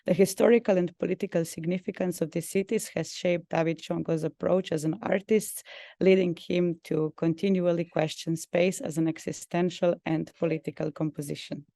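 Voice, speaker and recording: A woman with a very mellow, noticeably creaky voice, recorded close and dry. She acts as a webinar host, introducing a speaker in a formal way. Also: on the low side, steady tone, normal speed, dry recording.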